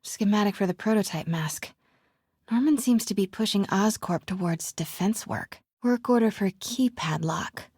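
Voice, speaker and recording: A determined young woman reporter in a video game. Her voice is breathy and sits at a middling pitch, recorded close and dry. She talks wryly to herself, often keeping it hushed as she snoops. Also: moderate pace, no echo. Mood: intrigued. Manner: wry, self-talking, often hushed. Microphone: close, dry studio mic.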